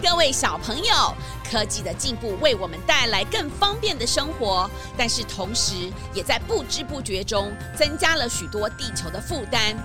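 A woman narrator speaks to children in a scripted, instructive style. Her voice is very high and moves expressively, with clear music behind it. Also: very animated, moderate pace, few pauses.

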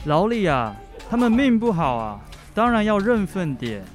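A man's voice: sits high, very animated, normal speed, faint music behind. An amateur actor, a man, playing a joking father who runs a night-market food stall in a children's radio drama. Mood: joking, self-deprecating.

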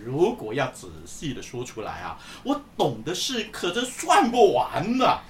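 A woman's voice: deep voice, huge pitch swings, slight background noise, exaggerated voice. Woman as a pompous veteran churchgoer, echoey. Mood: smug, pompous. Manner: pompous, drawn-out emphasis. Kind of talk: scripted Mandarin radio drama acting. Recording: roomy echo, distant from microphone.

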